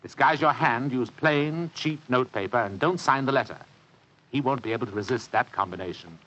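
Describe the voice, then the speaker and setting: An actor playing a lazy, grumbling, shrewd older English gentleman with a pompous drawl in an old-time radio drama. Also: mid-range voice, animated, fairly quick. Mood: shrewd, commanding. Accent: British. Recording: dull, noisy vintage radio recording.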